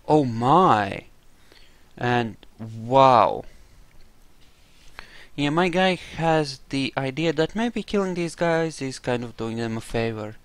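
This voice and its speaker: Slow-paced man with a smooth, clear voice. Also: mid-range voice, lively intonation, no room sound. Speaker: gamer, let's play host. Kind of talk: casual roleplay game commentary.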